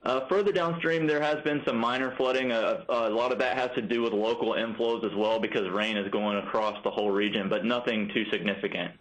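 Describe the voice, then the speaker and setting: An American man answering as an agency spokesman in a live phone news interview, measured and halting, with repeated false starts. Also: on the low side, fairly even intonation, fairly bright tone, low-quality recording.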